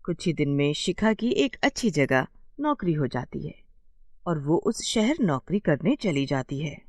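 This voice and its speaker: A woman's voice: low voice, sing-song, unhurried, dry recording. A woman narrates a scripted bedtime story. She works as a storyteller, setting out events in order. The recording is very dry, with no room sound.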